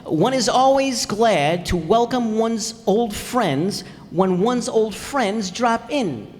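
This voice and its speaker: A man's voice: high voice, expressive, slow, a little mellow. A man playing a cocky, blustering husband who mangles his grammar in a folksy old-time radio comedy style. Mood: self-satisfied. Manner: folksy, bungled grammar, wisecracking. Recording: echoey hall stage recording.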